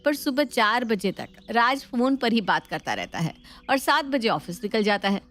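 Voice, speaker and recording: A woman's voice: on the high side, big pitch swings, moderate pace, a bit bright. A woman playing a feisty, suspicious young Indian bride in a family story, dramatic and plaintive. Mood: aggrieved.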